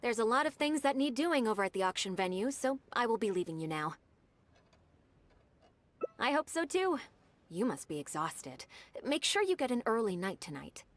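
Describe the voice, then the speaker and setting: Polite young game secretary, businesslike, very animated intonation. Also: female voice, a little high, moderate pace, long pauses. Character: dutiful young female secretary, polite and efficient. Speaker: game character. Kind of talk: video game voice acting.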